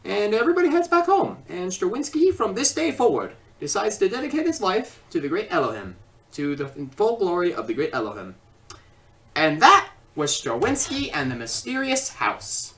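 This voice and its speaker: A film reviewer giving an informal critique in a halting way, often repeating and rephrasing, recorded at a distance from the microphone. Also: mid-range voice, big pitch swings, fairly bright tone. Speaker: video reviewer and host. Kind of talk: informal scripted film review with ad-libbed asides.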